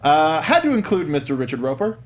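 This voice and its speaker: A young man hosts a casual panel talk about movies. His voice sits a little high for a man. He speaks informally, swearing freely, into a studio microphone. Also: big pitch swings, fairly quick, a little hiss or hum. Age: young adult. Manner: casual, profane. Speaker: podcast host. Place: small indoor studio. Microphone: studio mic on desk, headset headphones.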